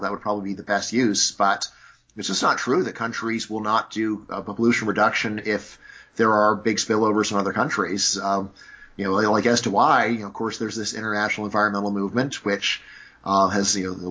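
A man's voice: lowish voice, steady tone, brisk, pushed and bright, husky. Opinionated man, filler-heavy talk, muffled audio. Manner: argumentative, lots of "you know" fillers. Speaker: interview guest. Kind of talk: conversational interview.

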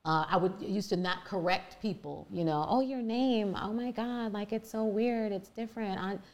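A woman with a deep voice telling her personal story candidly and emphatically, with many fillers and restarts. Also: normal speed, darkish tone. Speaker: guest.

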